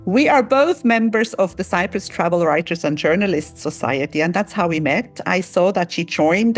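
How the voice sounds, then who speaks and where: A woman from Switzerland hosting a podcast interview, chatty and rambling with frequent restarts. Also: lowish voice. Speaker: podcast host. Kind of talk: casual interview.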